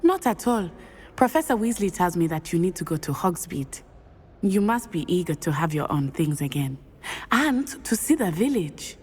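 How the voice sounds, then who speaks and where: Eager, animated schoolgirl. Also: fairly low pitch, moderate pace, breathy edge. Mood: eager, friendly. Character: eager, friendly teenage schoolgirl classmate. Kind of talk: video game character acting.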